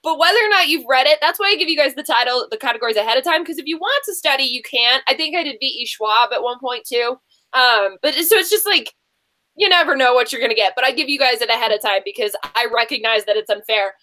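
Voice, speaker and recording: A woman with a high, bright voice runs a casual quiz. She talks in a chatty, animated way and teases the contestants as she reads out clues and answers. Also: quick pace. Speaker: quiz show host. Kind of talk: casual bookish quiz hosting.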